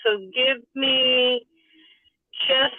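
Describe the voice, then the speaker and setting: Phone-like tinny sound, woman, very slow drawl of delivery, slightly high pitch, rambling, casual livestream chat. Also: monotone, a little hiss or hum.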